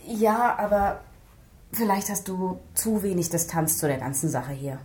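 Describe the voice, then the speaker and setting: Female organised-crime investigator: slightly low voice, matter-of-fact, pragmatic, radio drama in an echoey space. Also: lively intonation, a little slow, a little mellow. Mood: placating, firm. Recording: roomy sound with faint noise.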